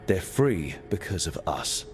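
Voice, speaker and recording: Low-voiced, earnest young hero. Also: male voice, big pitch swings, a little slow, over music. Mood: grave. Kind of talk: cinematic video game dialogue.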